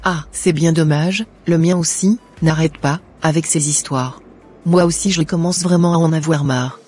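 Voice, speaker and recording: A woman's voice: deep voice, steady tone, normal speed, warm tone, slightly rough, faint music behind. A woman voicing a polite, earnest schoolgirl daughter in a cartoon, with a scripted delivery. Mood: concerned. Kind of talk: animated cartoon dialogue.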